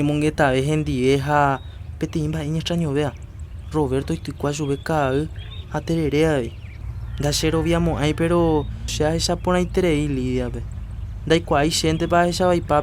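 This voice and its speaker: A man playing easygoing husband Rafa, higher voice. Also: a little mellow, slight background noise, lightly acted. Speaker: radio drama actor. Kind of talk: rural radio drama acting.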